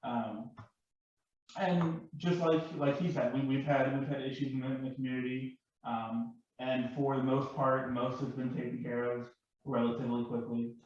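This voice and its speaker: A man's voice: mid-range voice, little pitch movement, normal speed, a little mellow. Guy chatting on a panel, keeps restarting words, really roomy far off mic w some hiss. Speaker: panel guest and larper. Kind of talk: casual panel discussion. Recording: very echoey, distant, dull, noisy microphone.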